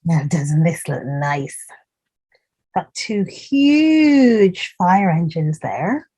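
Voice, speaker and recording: A woman's voice: fairly low pitch, big pitch swings, drawn-out words, dark tone, husky. A woman hosting a children's storytime, reading a picture book aloud and chatting to young listeners in an encouraging way as she points out details. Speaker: children's storytime host and reader.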